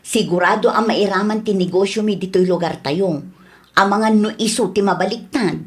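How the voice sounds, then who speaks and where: Woman acting as nosy opinionated ilocano village lady, emphatic, radio drama, medium pitch. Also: moderate pace, natural voice. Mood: confiding.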